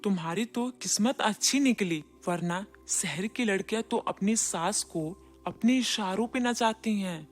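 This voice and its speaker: A woman's voice: medium pitch, a little slow, soft background music, lightly acted. A woman voicing a chatty, admiring village neighbour in a storybook cartoon, given to exclaiming. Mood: amazed.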